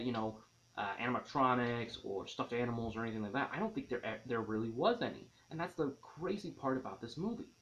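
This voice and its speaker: Casual man reviewing a movie, dull lo-fi audio. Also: medium pitch. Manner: enthusiastic, rambling, repeats and rephrases himself.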